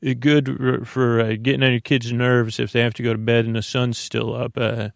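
Male American podcast host for sleep monologue: creaky, dry studio sound, rambling, hesitant, drifting delivery. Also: medium pitch, level tone, no echo. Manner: meandering, halting, keeps trailing off. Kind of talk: rambling bedtime monologue to help listeners sleep.

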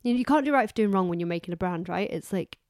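A woman's voice: mid-range voice, expressive, very fast, darkish tone, no echo, clean studio sound. A woman co-hosting a podcast in casual, effusive, rambling chat while she is losing her voice. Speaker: podcast co-host.

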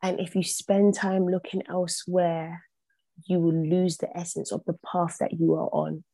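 A woman's voice: on the low side, little pitch movement, normal speed, creaky, warm tone, dry recording. A young woman, a podcast guest whose first language is not English, speaking earnestly and repeating phrases for emphasis. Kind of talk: reflective podcast conversation.

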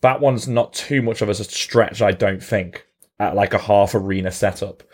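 A man's voice: lowish voice, normal speed, darkish tone. A man in a casual podcast chat, explaining things in detail with a dry sense of humour.

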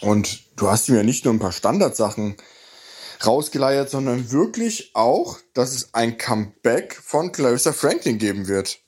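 Man, medium pitch, chatty, appreciative, informal congratulatory message. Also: animated, moderate pace, darkish tone, husky. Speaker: author and former podcast guest.

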